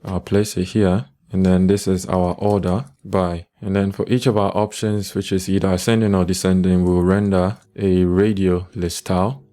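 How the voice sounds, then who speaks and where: A man teaches programming step by step in a screencast. His voice is low and dark, with very little rise and fall, and he sounds calm and methodical. Faint music plays behind him. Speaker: programming tutorial instructor. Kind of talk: step-by-step screencast coding tutorial.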